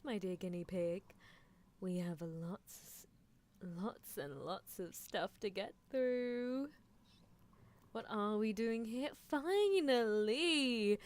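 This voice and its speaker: Casting: woman to play female mad scientist for ASMR roleplay, eccentric, gleefully menacing yet doting; unhurried, highly melodic, theatrical teasing. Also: a little mellow, close to an everyday voice. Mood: musing, amused, then mock-exasperated, doting. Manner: theatrical, musing, drawn-out teasing delivery. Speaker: streamer performing a character roleplay. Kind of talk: live ASMR character roleplay, improvised replies to listeners.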